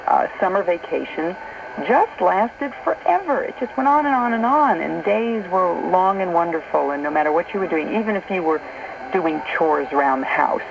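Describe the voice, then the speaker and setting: Middle-aged American woman radio host, creaky voice. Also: on the low side, lively intonation, moderate pace. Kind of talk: conversational late-night radio talk. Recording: noisy shortwave radio broadcast on cassette.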